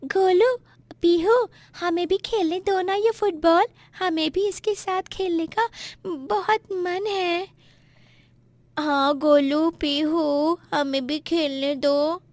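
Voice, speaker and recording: A woman's voice: extremely high pitch, slow, a little mellow, no room sound, pitched above the performer's own voice. A woman voicing a squabbling small child, whiny and indignant, in a children's storybook tale. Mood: pleading.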